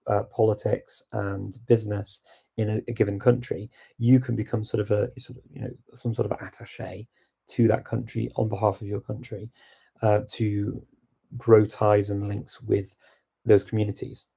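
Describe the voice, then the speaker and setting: Gravelly, low-voiced man giving advice. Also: moderate pace. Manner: relaxed, reflective advice-giving. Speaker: host answering viewer questions. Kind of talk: casual live Q&A chat.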